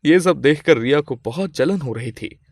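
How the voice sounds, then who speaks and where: A man's voice: fairly high pitch, animated, moderate pace, dry recording, studio quality. A man reading scripted moral-story narration as the storyteller.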